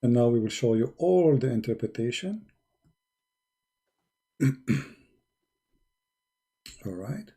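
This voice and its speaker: A man hosts a live religious debate in a very dark, husky voice. He is insistent and challenging, often repeating his questions to press the other side. The delivery is argumentative and unscripted. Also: mid-range voice, animated, slow, long pauses. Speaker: host of a religious debate call-in.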